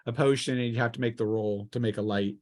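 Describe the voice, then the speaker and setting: Halting man running a tabletop game. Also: medium pitch, steady tone. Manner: halting, thinking aloud. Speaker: game master. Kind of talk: casual tabletop role-playing game talk.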